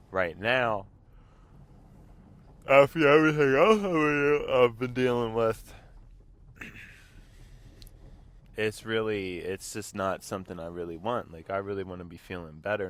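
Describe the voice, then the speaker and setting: A man's voice: lowish voice, a bit bright. Congested man rambling in a car. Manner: rambling, with frequent throat clearing. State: congested, slightly sick voice. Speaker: vlog host. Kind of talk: casual talk while driving. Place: inside a car.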